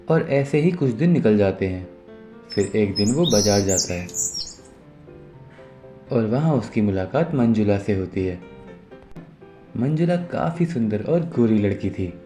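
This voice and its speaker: A male narrator with a fairly low, mellow voice tells a scripted moral tale. Soft music plays behind him.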